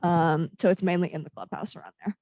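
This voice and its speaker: Lower-voiced woman giving a halting report. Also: steady tone, dark tone, dry recording. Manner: halting, many ums. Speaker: student senator. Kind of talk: meeting report. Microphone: video call.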